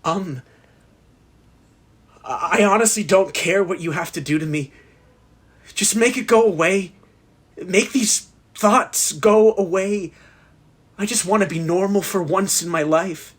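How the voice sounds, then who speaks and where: Young male patient, slightly high voice, breathy and rough, timid, hesitant, stammering, desperate, audio drama. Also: unhurried, long pauses, a bit bright. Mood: desperate, pleading. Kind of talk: horror audio play acting.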